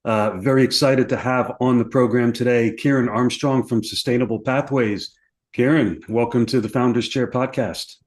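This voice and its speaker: A man's voice: medium pitch, fairly even intonation, normal speed. A man hosting a podcast, interviewing his guest in a conversational style.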